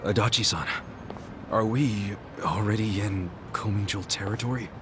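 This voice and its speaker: An actor playing a gruff, blunt but good-hearted yakuza hero in a video game dub. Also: male voice, lowish voice, fairly even intonation, moderate pace, background noise, close to an everyday voice. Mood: casual, alert.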